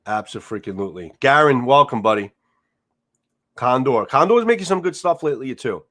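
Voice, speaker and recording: A man's voice: medium pitch, expressive, normal speed, a bit bright. A man with a New York Italian-American accent hosting a casual livestream, rambling through viewer comments with repeated words and casual swearing. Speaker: livestream host. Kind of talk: casual live chat reading comments. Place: home, seated in a chair.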